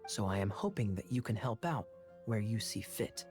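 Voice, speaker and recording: An actor playing a suave, sly young knight captain in an anime-style video game, smooth-talking and formally courteous. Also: male voice, fairly low pitch, animated, normal speed, a little mellow, faint music behind, close to an everyday voice. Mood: suave, evasive. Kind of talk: English anime game voice acting.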